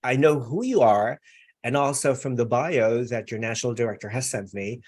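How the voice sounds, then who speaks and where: A man's voice: medium pitch, moderate pace, darkish tone. A man hosting a live online interview, chatty and effusive, with many fillers. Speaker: interview host.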